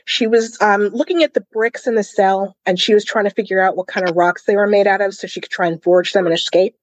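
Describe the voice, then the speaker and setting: A woman's voice: mid-range voice, steady tone, brisk, no room sound. A woman co-hosting a podcast, casually discussing a book and reading passages aloud. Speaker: podcast co-host.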